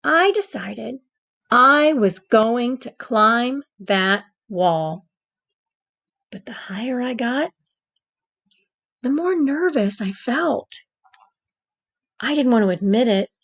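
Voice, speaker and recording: Gentle, anxious storybook egg read by a woman: slow, creaky, expressive, with dramatic pauses. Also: fairly high pitch, lo-fi sound, heavily acted. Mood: anxious, determined. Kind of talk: picture book read-aloud.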